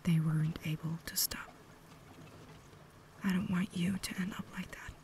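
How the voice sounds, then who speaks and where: A woman voicing a cultured, caring, tormented vampire lady in a breathy whisper, with formal, measured diction for an ASMR roleplay. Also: lowish voice, fairly even intonation, darkish tone, a little hiss or hum, close to an everyday voice. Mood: sorrowful, caring.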